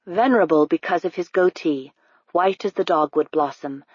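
Woman narrating an audiobook, low and dry. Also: moderate pace, a little mellow, husky, dry recording, highs cut off. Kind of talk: scripted narration.

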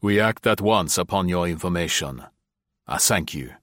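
Audiobook role: fierce old military commander acted by a male reader, low, formal and curt, closely dry. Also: animated, moderate pace, fairly bright tone, no echo. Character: stern old French general, veteran, fierce and incredulous. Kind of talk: audiobook character acting.

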